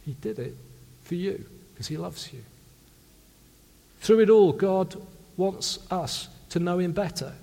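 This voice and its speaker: A man from South Wales with a South Welsh accent, preaching an earnest sermon that swings from loud emphasis to hushed intimacy. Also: high voice, a little slow, vocal fry, pauses often. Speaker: pastor. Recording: long reverb of a large hall.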